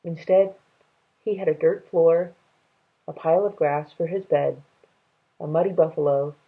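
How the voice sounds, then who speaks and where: A woman with a husky, creaky voice reads a story aloud to children. She takes her time and narrates expressively. The sound is thin and phone-like. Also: sits low, steady tone, measured pace. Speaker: read-aloud reader.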